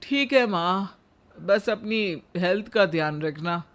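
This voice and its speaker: A man acts out a grown son in a comic cartoon tale. His pitch is very high for a man. He sounds gentle, reasonable and a little exasperated. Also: animated, takes it slowly, full character voice. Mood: concerned. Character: caring, reasonable adult son. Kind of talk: comic animated moral story acting.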